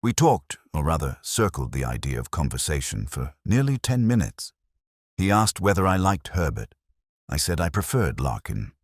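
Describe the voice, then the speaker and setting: A man with an extremely low, slightly husky voice narrates a detective story. His delivery is reflective and measured, yet his pitch moves in a lively way through each literary sentence. The recording is dry and close. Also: dry recording. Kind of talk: first-person scripted detective story narration.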